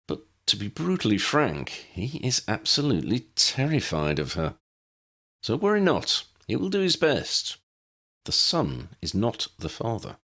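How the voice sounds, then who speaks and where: A gentleman wizard voiced by a man speaks formally and with measured reassurance. His intonation rises and falls widely in this storybook dialogue. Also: mid-range voice, wildly swooping pitch, voice pushed up, exaggerated voice. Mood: frank, reassuring.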